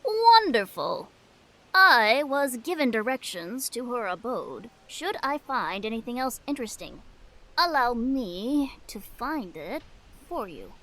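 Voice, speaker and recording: A woman's voice: fairly high pitch, very animated, slow pace, fairly bright tone, exaggerated voice, poor audio. A woman playing an older female halfling merchant in a fantasy audio drama, musing and hesitant as she trades. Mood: pleased, businesslike.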